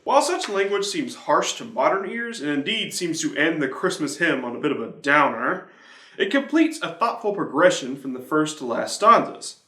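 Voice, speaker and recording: A man's voice: fairly high pitch, bright, forceful tone, roomy sound. A man presenting a church devotional, reading from a prepared script.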